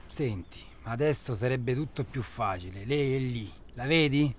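A male actor as a rough, curt safari guide in a naturalistic radio drama. He has a medium-pitched voice and presses his point in colloquial, impatient speech. Audible background ambience sits behind him. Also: measured pace, a little hiss or hum, lightly acted. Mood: matter-of-fact.

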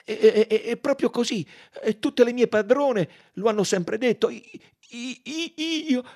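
A man's voice: high voice, normal speed, darkish tone, voice pushed up. A man voicing an anxious, easily flustered German servant woman in a novel, stammering and pleading.

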